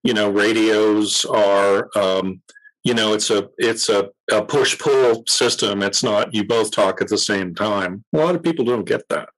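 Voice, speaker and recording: Lowish-voiced man explaining things informally. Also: measured pace, a bit bright, rough recording. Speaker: interview guest, military auxiliary radio commander. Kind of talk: casual interview chat.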